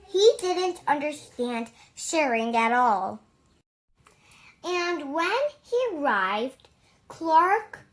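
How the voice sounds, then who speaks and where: A woman reading a children's picture book aloud in an expressive, theatrical storytelling manner. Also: high voice. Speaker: storybook reader.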